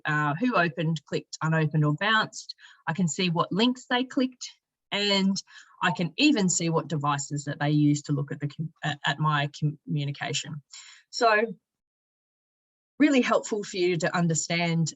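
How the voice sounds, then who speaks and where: A woman giving a conversational software walkthrough as a company presenter, explaining each step informally. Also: lowish voice, no room sound, lo-fi sound. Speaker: sales and marketing director giving a product demonstration.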